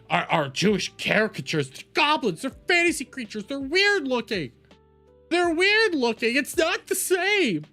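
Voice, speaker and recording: A man's voice: extremely high pitch, vocal fry, sharp tone. A man hosting a reaction video, giving heated, casual opinion commentary in an argumentative, exasperated and mocking way, repeating phrases for emphasis.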